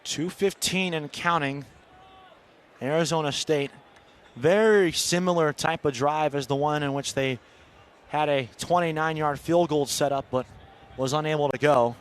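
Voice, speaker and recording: Higher-pitched male football play-by-play announcer. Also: measured pace. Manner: clipped descriptive calls that swell on big plays. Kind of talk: live college football play-by-play commentary.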